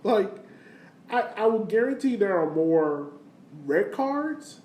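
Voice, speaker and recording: A man's voice: high voice, very animated, takes it slowly, darkish tone. A man co-hosting a sports podcast, chatting informally and making his points with emphasis. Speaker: podcast co-host. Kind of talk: casual sports podcast chat. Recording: roomy echo, faint background noise.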